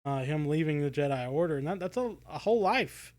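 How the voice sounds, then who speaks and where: Higher-pitched man chatting casually on a podcast. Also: expressive, a little fast, darkish tone. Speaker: podcast co-host. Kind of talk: casual review chat.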